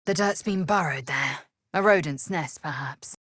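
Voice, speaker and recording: A woman voicing a fierce, proud githyanki warrior woman in a video game, with a husky voice and clipped, imperious, formal speech. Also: deep voice, a little slow, fairly bright tone, no room sound, studio quality. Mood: observant. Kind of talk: video game character voice acting.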